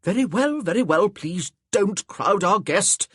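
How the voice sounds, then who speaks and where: A narrator voicing a hearty, rambling, nearsighted older gentleman in a period audiobook. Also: animated, a little slow, slightly rough, no echo, clean studio sound, exaggerated voice. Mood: jovial. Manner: jovial, booming, rambling. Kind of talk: audiobook character voice.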